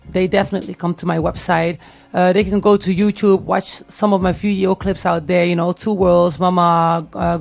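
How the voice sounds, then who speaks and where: Creaky-voiced Dutch woman chatting. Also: fairly low pitch, a little mellow. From Netherlands, grew up in Tilburg. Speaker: music artist, interview guest. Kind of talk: casual radio interview. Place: radio studio.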